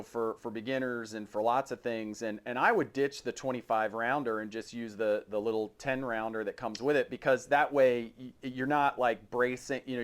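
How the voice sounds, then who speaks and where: A man with a clean, smooth voice at an ordinary male pitch hosts a gear podcast. He talks informally and haltingly, working out his thoughts as he speaks. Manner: halting, thinks aloud, informal. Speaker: podcast host. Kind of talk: casual podcast chat about gear.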